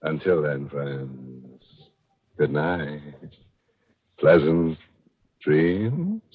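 A man's voice: very deep voice, animated, drawn-out words, husky. A man hosting a 1940s radio horror show in a macabre, tongue-in-cheek manner, on a dull old recording. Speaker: host.

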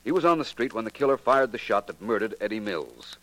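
An American man acting a suave, unruffled private detective in a 1940s radio drama, urbane and coolly deliberate. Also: on the low side, expressive, a bit bright, rough recording. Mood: cool, reasoning. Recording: old 1940s radio recording.

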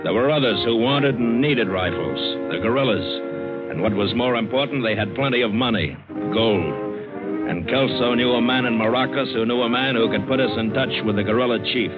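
An actor playing a hard-boiled American adventurer, narrating tersely and vividly in an old radio drama. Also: male voice, mid-range voice, brisk, natural voice. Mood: tense storytelling. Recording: dull old radio broadcast, loud music.

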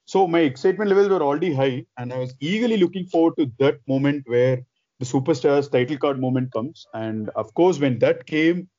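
A man chatting as a podcast host, loud and excited, rambling with restarts. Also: on the high side, fairly quick, no room sound, dull audio. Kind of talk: casual chat.

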